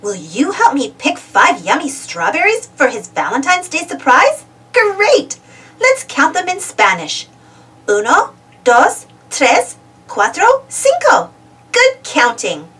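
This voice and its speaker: Little girl adventurer, played by a woman: eager, exclamatory, storybook read-aloud, very animated, slow clear questions to the listener. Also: high-pitched, far from the mic, heavily acted. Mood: cheerful, inviting.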